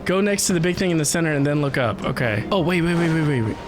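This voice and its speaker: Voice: mid-range voice, expressive, quick pace. Chatty, enthusiastic game streamer over music. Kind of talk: casual livestream game commentary.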